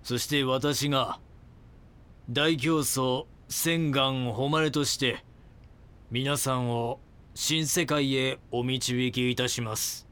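Slow, preachy male cult guru. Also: medium pitch, steady tone, fairly bright tone, clean tone. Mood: grandiose proclaiming. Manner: polite, preachy, deliberate. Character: calm, grandiose male cult founder and guru. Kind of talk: audio drama acting.

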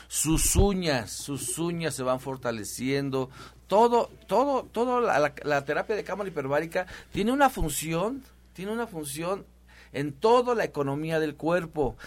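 A man hosting a promotional health talk, speaking loosely with many repeats and fillers. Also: a little high. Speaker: host of a health show.

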